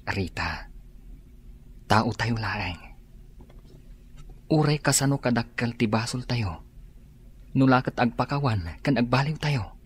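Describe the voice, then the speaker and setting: A calm husband in a radio drama. His voice is raspy and mid-pitched, counseling with patience and forgiveness. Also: slow pace, long pauses, heavily acted.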